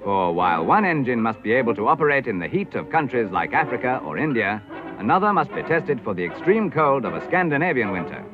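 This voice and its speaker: A British man reading formal, measured, declamatory narration in the style of a 1950s educational film. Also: mid-range voice, big pitch swings. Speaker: documentary narrator. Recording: dull vintage film soundtrack with music. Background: clear background music.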